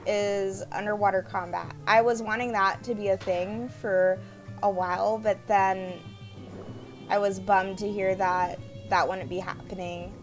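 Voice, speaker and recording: A woman with a raspy, sore throat from allergies, chatting casually in a home voice-over about a video game. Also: mid-range voice, level tone, measured pace. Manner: chatty, easygoing, a bit quiet. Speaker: gaming YouTuber. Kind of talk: casual gameplay voice-over commentary. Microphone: home voice-over mic. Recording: voice-over with game music behind.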